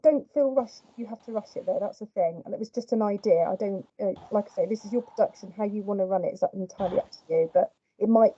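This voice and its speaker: A woman's voice: mid-range voice, warm tone. A young woman in a casual planning call, offering suggestions in long, hedging stretches. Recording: muffled, dry call audio.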